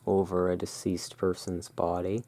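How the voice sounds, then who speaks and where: A person narrating in a soft, hushed, deliberate ASMR style while presenting cards as a host. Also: sits low, completely flat delivery.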